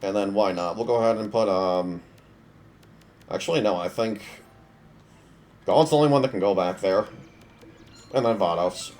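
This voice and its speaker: A young man with a cold, his voice stuffy, giving relaxed, offhand commentary while playing a mobile game. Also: on the low side, moderate pace, pauses often. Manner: relaxed, offhand, thinking aloud. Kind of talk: casual gameplay commentary.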